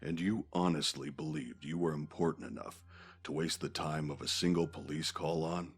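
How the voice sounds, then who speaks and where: A man playing an imperious, bitter senator in an audio comic, gravelly and stern, speaking formally. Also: very deep voice, steady tone, moderate pace, exaggerated voice. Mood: bitter, scornful. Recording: music behind the voice.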